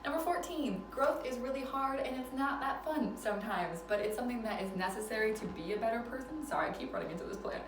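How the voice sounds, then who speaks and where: A woman's voice: a little high, fast talker, few pauses, recorded from a distance. A woman in her twenties rattles through life advice in a casual vlog. She laughs often and pokes fun at herself. Her voice carries the echo of a room, with the mic set back and a faint hum of noise behind. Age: 20s. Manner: chatty, laughs often, self-deprecating. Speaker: vlogger. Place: indoors at a relative's house.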